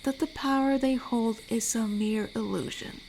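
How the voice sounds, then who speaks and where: A woman voicing an amiable, rambling werewolf who guards a forest, in an intimate audio roleplay with a chatty, gently awkward manner. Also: a little high, normal speed, vocal fry, noisy background. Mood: wry, chatty. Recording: night cricket ambience behind voice. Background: crickets and forest night sounds.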